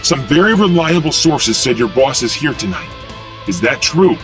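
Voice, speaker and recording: A man's voice: mid-range voice, very animated, moderate pace, faint music behind, close to an everyday voice. A man playing a cocky, menacing young superhero vigilante in an audio drama, taunting and threatening.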